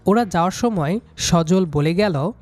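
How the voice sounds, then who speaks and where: A man's voice: high-pitched, a little slow, a little mellow. A man narrating a scripted first-person story as the storyteller. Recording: music behind the voice.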